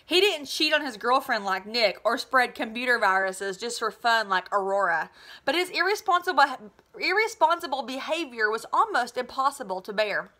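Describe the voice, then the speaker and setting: A North American woman in her thirties reads a children's novel aloud. Her voice is high-pitched and clearly bright. She delivers it expressively, in the manner of a teacher reading to students. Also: expressive, moderate pace. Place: small living room at home, indoors.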